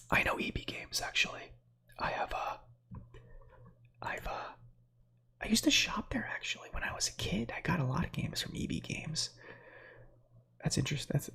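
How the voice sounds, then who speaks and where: A man in his thirties whose first language is Slovak, whispering softly as an ASMR streamer who reads space facts with chatty, meandering asides to viewers. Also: medium pitch. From United States. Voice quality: breathy whisper.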